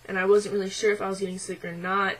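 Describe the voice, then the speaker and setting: A man's voice: little pitch movement, fast, rough recording. Young man with a changing voice. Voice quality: voice midway through deepening, a bit unsettled. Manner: hesitant, lots of ums and likes, quiet and reflective. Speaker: vlogger. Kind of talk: casual personal video diary.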